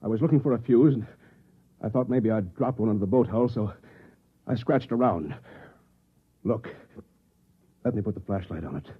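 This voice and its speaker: Edgy husband in tinny vintage radio drama. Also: male voice, fairly low pitch, moderate pace, long pauses, slightly rough. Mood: alarmed, urgent. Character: anxious, suspicious office husband growing alarmed at reality. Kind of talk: old-time radio drama acting. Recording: muffled, narrow-band vintage radio recording.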